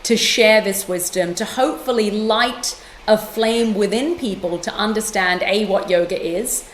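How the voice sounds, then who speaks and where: A middle-aged woman from London, speaking as an interview guest in a passionate, emphatic way with quick restarts. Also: mid-range voice, roomy sound, slight background noise, poor audio. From London, England. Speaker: guest author and yoga teacher. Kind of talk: conversational interview.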